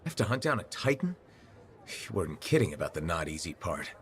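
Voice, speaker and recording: An actor playing an easygoing, dryly sarcastic mercenary hero in a video game. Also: male voice, deep voice, very animated, fairly quick, a little hiss or hum. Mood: dry, wry. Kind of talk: video game dialogue acting.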